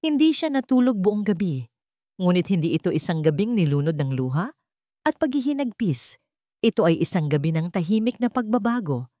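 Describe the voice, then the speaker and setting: A woman narrating a scripted drama story in an even, deliberate storytelling manner. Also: fairly low pitch, no echo, clean studio sound.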